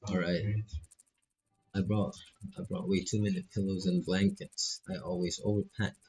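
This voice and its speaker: Chatty, friendly teen girl roommate for a visual novel, read by a man, eager and casual, deep and gravelly. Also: flat delivery, normal speed, darkish tone, faint music behind, rough recording.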